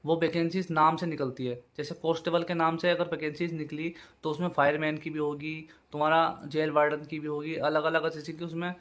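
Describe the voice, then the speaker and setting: A man's voice: a little high, flat delivery, fairly quick, few pauses, a bit bright. A man giving an informal explainer to viewers as a channel host, repeating his points with familiar check-in tags. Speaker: YouTube host giving job exam updates.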